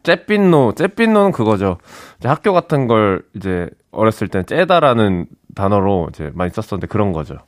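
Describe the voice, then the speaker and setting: A man's voice: fairly low pitch, big pitch swings, normal speed, hardly any pauses. A man co-hosting a casual chat, easygoing and curious, who laughs along. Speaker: radio host.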